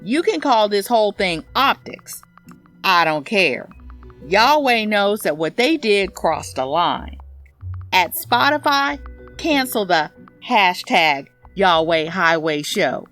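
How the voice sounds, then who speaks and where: Casting: actress as indignant, sardonic woman ranting online, unhurried delivery, lively swooping pitch, comedy audio story. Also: faint music behind, exaggerated voice. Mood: indignant, petty. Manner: sardonic, self-righteous, emphatic. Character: petty, indignant woman posting a social media rant. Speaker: narrator, audio fiction reader. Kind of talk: scripted first-person comedy monologue.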